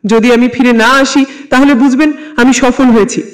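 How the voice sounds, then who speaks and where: A man's voice: very high-pitched, steady tone, normal speed, big-room sound. A man voicing a determined doctor's last letter in a horror story, solemn and resolute. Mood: solemn resolve.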